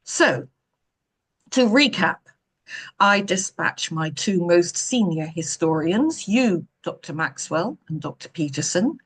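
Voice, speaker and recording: Woman reading as a stern sardonic boss man in a storybook, husky, clipped and very formal. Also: fairly low pitch, lively intonation, full character voice. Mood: sardonic, exasperated. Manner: measured, clipped, pointedly formal.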